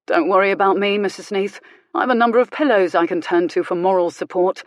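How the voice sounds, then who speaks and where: A woman voicing a mild-mannered country vicar in an audiobook romance, measured, pointed and drily ironic with quiet anger. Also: mid-range voice, dry recording, clean studio sound, lightly acted. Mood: controlled anger.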